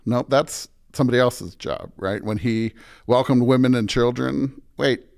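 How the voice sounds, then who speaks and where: A man's voice: mid-range voice, steady tone, normal speed, vocal fry, a little mellow. Emphatic man, a pastor talking faith. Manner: emphatic, repeatedly checks with "right?". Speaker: pastor, podcast guest. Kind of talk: conversational preaching in an interview.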